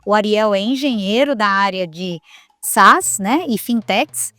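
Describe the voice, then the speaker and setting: A woman's voice: mid-range voice, animated, a little slow, bright, forceful tone, no room sound, clean studio sound. A woman hosting a podcast, speaking in an upbeat way and often adding "né?" tags. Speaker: podcast host. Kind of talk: podcast host introduction.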